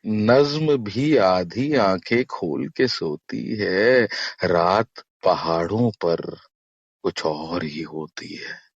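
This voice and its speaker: A man reciting a poem as a guest, measured and lingering with pauses, husky, over dull lo-fi audio. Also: sits low, expressive, dry recording. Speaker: guest poet. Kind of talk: poetry recitation of an Urdu-Hindi nazm.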